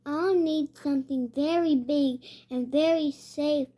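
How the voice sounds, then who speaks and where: Child reader, high voice, very slow and hesitant storybook read-aloud, repeats words while sounding them out. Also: fairly even intonation, mellow. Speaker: young reader.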